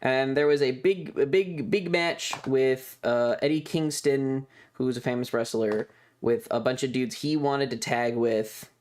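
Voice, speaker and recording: Slow-paced man chatting casually on a livestream. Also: medium pitch, a little mellow. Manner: rambling, halting, with stretched pauses. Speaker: streamer. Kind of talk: casual livestream chat over trip photos and videos.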